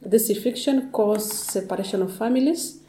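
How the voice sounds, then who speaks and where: A woman's voice: mid-range voice, moderate pace, dark tone, echoey room. A woman telling how she and her family were affected, speaking hesitantly with many fillers and restarts. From Tanzania. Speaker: interviewee, displaced community member. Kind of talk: testimony in conversation.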